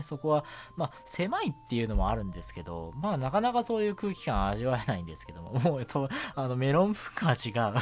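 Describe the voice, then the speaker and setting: A man's voice: mid-range voice, a little hiss or hum. A young man hosting a casual solo radio chat in a rambling, giggly, self-deprecating way. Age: young adult. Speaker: radio show host. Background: background music.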